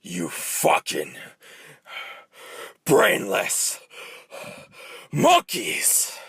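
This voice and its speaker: A man playing a ranting, vengeful, theatrical villain in an amateur radio drama, his voice strained and gravelly. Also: a little high, wildly swooping pitch, drawn-out words, a bit bright, dry recording, studio quality, full character voice. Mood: furious.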